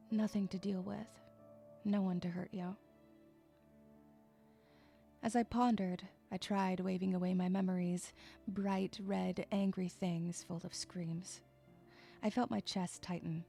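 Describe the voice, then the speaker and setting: A woman's voice: medium pitch, creaky, warm tone. A woman playing a troubled, withdrawn young loner who narrates her own story in an introspective, emotive way. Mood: brooding. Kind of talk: dramatic first-person story narration.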